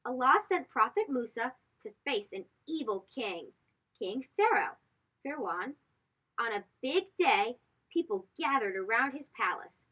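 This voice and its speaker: A woman's voice: on the high side, a bit bright, husky, highs cut off. A woman reading a children's storybook aloud, expressively and speaking directly to young listeners. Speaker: host of children's read-aloud show.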